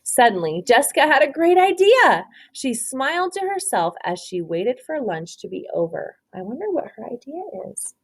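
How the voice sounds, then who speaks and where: A woman's voice: high-pitched, big pitch swings, moderate pace, few pauses, slightly rough. A woman kindergarten teacher reading a picture book aloud, prompting young children with counting questions and praise. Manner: prompting, instructive, praising pupils.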